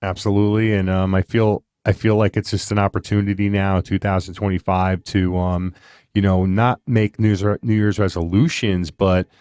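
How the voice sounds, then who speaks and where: Low-voiced guy in his 50s hosting a podcast, rambly and chatty, dry close mic. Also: normal speed, clean tone, dry recording. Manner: chatty, rambling, animated asides. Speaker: podcast host. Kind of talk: casual interview chat.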